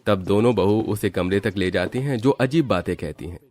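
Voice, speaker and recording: A man's voice: fairly low pitch, normal speed, darkish tone. A man narrating a scripted Hindi family story as its storyteller.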